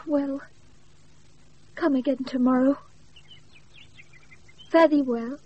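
A woman's voice: sits high, steady tone, takes it slowly. An actress playing a proud, passionate, lovesick noble lady in a radio play, with formal, yearning verse delivery and a British accent. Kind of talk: classical radio play acting. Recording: dull, noisy off-air radio recording.

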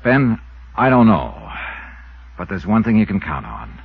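A man's voice: lowish voice, very animated, unhurried, gravelly, heavy background noise, heavily acted. An American man acting as a dogged, skeptical insurance investigator in a 1950s radio drama, with a clipped, hard-boiled delivery. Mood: pressing, skeptical. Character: dogged, skeptical male insurance investigator narrating his case. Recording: muffled 1950s radio recording with music.

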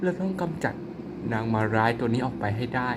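An excitable young man talks through a phone game, his pitch jumping all over as he reacts. He chatters politely between bursts of laughter. A steady noisy hiss runs under the whole recording. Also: fairly quick. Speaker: gaming YouTuber. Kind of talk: live mobile game commentary.

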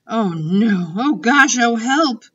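Little girl for a storybook played by a woman: proper, questioning, outspoken, bright tone, distant dullish sound. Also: mid-range voice, very animated, normal speed, highs cut off. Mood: disgusted.

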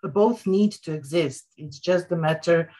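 A woman with a Serbian accent speaks in a slightly low voice at a very unhurried pace. She hesitates often and sounds reflective, like a panelist sharing thoughts on a video call. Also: warm tone. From Serbia, born in Yugoslavia. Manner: halting, reflective, with frequent hesitations. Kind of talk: informal panel remarks. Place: indoor room at home, video call. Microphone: wired headset on a video call.

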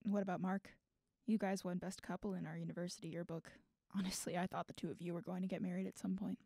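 A mellow-voiced young woman plays it guarded and understated, with wry remarks. She is acting in an audio drama. The recording is dry and close. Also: fairly low pitch, level tone, fast, vocal fry, dry recording. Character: guarded, wry young adult hiding old love.

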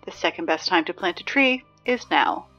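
A woman playing a sarcastic, exasperated high school announcer in a scripted audio drama, dry and emphatic in delivery. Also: lively intonation, moderate pace, bright, forceful tone, highs cut off, faint music behind, full character voice. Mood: dry, composed. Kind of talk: scripted fiction podcast, PA announcements.

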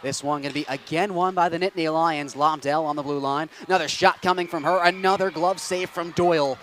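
A young man calling ice hockey play-by-play with rapid, steady narration that rises to excited shouts on goals, on a headset mic in an arena booth. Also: a little high, slight background noise. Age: young adult. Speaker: play-by-play announcer.

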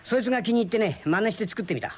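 A man's voice: high-pitched, lively intonation, very fast. An actor playing a chatty, wry friend in his thirties in a radio drama, explaining things colloquially. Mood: easygoing. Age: 30s. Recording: dull lo-fi old radio recording.

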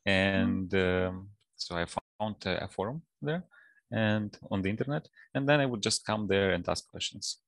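A man in his thirties, a native Russian speaker with a Russian accent, talking casually and haltingly as an interview guest over a headset. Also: deep voice, fairly bright tone, no echo. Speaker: guest, data science professional. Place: office, indoors, on a video call.

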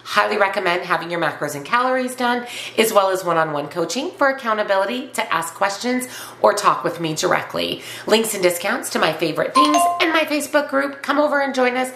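A woman's voice: medium pitch, lively intonation, a little fast, roomy sound, over music. A woman in her forties with a stuffy, congested voice from a cold, chatting upbeat to camera in a home office. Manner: chatty and upbeat, repeats herself. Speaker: weight loss vlogger. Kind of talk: casual vlog to camera.

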